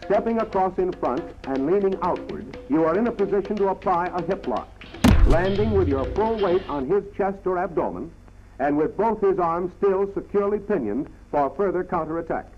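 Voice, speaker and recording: Male narrator, American, high-set voice, formal 1940s military instructional read, dull old film sound with music behind. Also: lively intonation, moderate pace. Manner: formal, clipped, instructional delivery.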